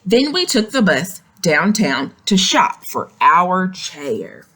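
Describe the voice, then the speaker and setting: Woman doing a kids storybook read aloud, slow and really animated, explains stuff to the little ones. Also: lowish voice, breathy edge. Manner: expressive, explaining, addressing children directly. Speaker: children's story reader. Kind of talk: animated read-aloud of a picture book with asides to young listeners.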